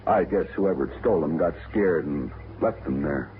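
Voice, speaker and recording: An actor playing a plainspoken frontier rancher who leads a lynching, with a slow rural drawl, in a 1950s radio drama. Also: male voice, rumbling low, expressive, faint music behind. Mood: awkward. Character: rough frontier man leading a lynching, plainspoken. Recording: tinny old radio broadcast with hiss.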